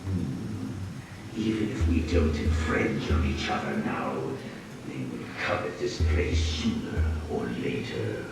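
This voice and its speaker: An actor playing a menacing, distrustful warlord in a video game, gruff and commanding. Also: male voice, extremely low pitch, sing-song, slow, heavily acted. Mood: brooding, wary. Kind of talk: dramatic video game villain acting. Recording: echoey, distant, under loud music.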